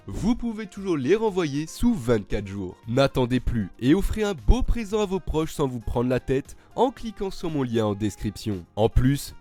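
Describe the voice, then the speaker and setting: Guy narrating a scripted video, really animated delivery, mellow tone, music underneath. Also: mid-range voice.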